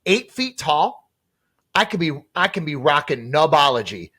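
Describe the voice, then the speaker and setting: A man with a slightly husky, fairly high voice that swings up and down a lot. He talks in an animated, joking way, like someone chatting on a comedy podcast with friends. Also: unhurried. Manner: animated, jokey storytelling. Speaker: podcast co-host. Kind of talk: casual comedy podcast chat.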